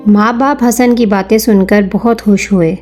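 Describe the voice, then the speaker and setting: A woman's voice: mid-range voice, steady tone, normal speed, darkish tone, soft background music. A woman narrating a scripted moral story for children.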